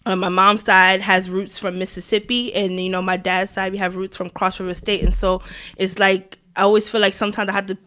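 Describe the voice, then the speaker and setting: A female podcast guest with a medium-pitched voice. She speaks informally, telling stories in a casual interview style. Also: level tone, a little fast, sharp tone. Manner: informal, conversational. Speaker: podcast guest, fellow podcast host.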